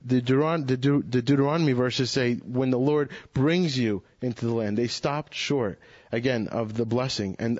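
A man's voice: mid-range voice, darkish tone, no room sound. A man offers an earnest, reflective comment to a Bible study group and keeps restarting his sentences as he thinks. The recording is dry and slightly dull, with little room sound and a muffled, lo-fi tone.

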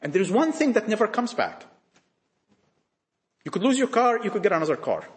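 A male preacher with a high voice that swings widely in pitch as he drives his points home. He fires rhetorical questions at the young people in front of him, repeating phrases and breaking off mid-sentence to start again. His voice rings around an echoey church. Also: big pitch swings, fairly quick. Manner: emphatic, full of rhetorical questions.

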